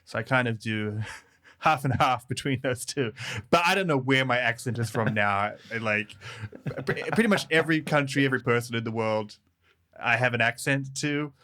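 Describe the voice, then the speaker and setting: Bright-voiced New man. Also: mid-range voice, moderate pace. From New Zealand. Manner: friendly, laughs easily, many fillers and restarts. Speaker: developer advocate guest. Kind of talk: casual podcast interview.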